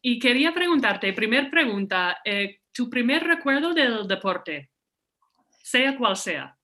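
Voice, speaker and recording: Bilingual woman podcast host, higher voice. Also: very animated, slow, sharp tone. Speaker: podcast host, former basketball player. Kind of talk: scripted intro then casual interview.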